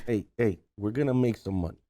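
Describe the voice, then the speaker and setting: A man's voice: fairly low pitch, fast, dark tone, natural voice. A man playing a desperate, scheming middle-aged uncle in a comedy table read, blustering and pleading.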